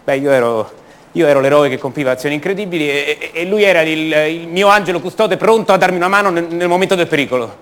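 A man's voice: a little high, a bit bright, close to an everyday voice. An actor playing a restless, defensive young man from a privileged family in a radio monologue, hesitating and repeating words. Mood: wistful. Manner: hesitant, halting, repeats words, rhetorical tags. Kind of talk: theatrical monologue, radio drama.

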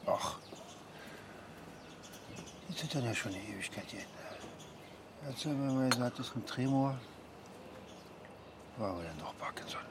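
An actor playing an ailing, drowsy older man who mutters haltingly in a naturalistic radio drama. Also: medium pitch, animated. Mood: resigned. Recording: noisy outdoor ambience.

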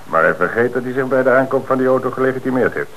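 A man acting a calm, confident police commissioner with measured authority in a Dutch radio play. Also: mid-range voice. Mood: firm, assured. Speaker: actor in a radio crime play. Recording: noisy, band-limited old radio recording.

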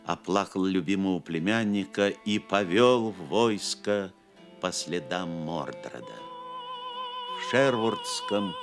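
A man narrating an audio play as a dramatic storyteller, solemn, theatrical and measured. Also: sits low, faint music behind.

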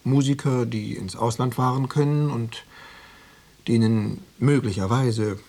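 A man in a radio play acting a smooth, insinuating East German secret police officer, falsely reassuring and bureaucratic. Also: mid-range voice, measured pace, darkish tone, big-room sound. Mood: smooth, probing.

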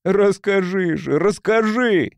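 A man's voice: high voice, slow, creaky, clear, resonant tone, dry recording, studio quality, pitched above the performer's own voice, heavily acted. A man voicing an anxious, eager male explorer who pleads insistently in a trembling way. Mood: pleading insistent. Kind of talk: audiobook character voice.

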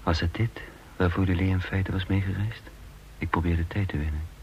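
An actor playing a guarded, earnest intelligence chief in a radio drama, musing and hesitant, on a dull, noisy old recording. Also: male voice, sits low, steady tone, moderate pace. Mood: reflective narration. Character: guarded male intelligence chief, flippant facade over gravity. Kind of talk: radio drama acting with first-person narration.

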